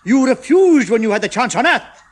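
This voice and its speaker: A man's voice: very high-pitched, big pitch swings, normal speed, pitched above the performer's own voice, exaggerated voice. A man voicing a bossy, snapping male alien chemist in a storybook reading. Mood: irritable. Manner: snapping, shouting.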